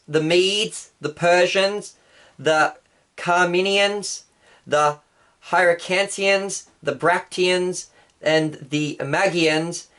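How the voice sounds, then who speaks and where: A man's voice: on the high side, a bit bright. A male pastor telling church history as a patient story for children. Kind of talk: children's Bible story lesson.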